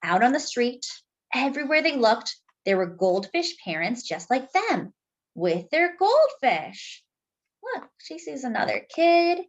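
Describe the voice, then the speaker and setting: A woman reading a picture book aloud to children, expressive and deliberate, dropping in chatty asides. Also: high voice, muffled recording. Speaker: children's story reader.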